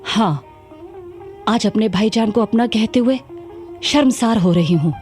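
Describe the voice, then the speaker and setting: A woman's voice: on the high side, measured pace, darkish tone, faint music behind, lightly acted. Earnest young warrior princess acted by a woman. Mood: troubled, indignant. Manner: earnest, formal, dramatic delivery. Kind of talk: Hindi historical radio drama acting.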